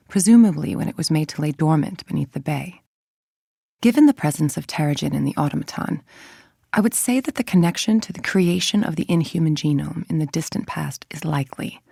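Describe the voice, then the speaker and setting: Game villainess, scientist. Deep female voice, dry close recording, icy, precise and clinical delivery. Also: lively intonation, a little fast, gravelly, dark tone, breathy edge, dry recording, exaggerated voice. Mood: clinical, guarded. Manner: clinical, articulate, controlled, formal. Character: cold, calculating villainous scientist woman running a research group. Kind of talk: scripted game voice-over, audio log monologue.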